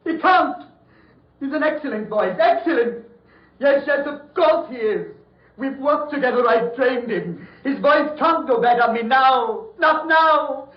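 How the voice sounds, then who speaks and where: Raving mad doctor in old radio horror, high voice, slow delivery, echoey muffled recording. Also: male voice, expressive. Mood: frantic insistence. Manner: theatrical, raving. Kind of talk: 1940s radio horror acting.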